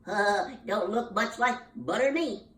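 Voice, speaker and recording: A woman voicing a nosy, skeptical animal neighbor in a folksy storybook tale, with slow, stretched-out words. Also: medium pitch, a bit bright, reverberant, recorded from a distance, lightly acted. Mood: puzzled, skeptical. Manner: drawling, stretched-out questions.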